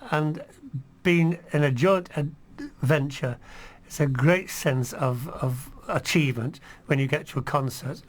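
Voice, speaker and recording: A man who sings in a choir, a guest in a radio studio, with a voice pitched a little high for a man. He speaks earnestly and warmly invites listeners to join. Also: measured pace. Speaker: choir member.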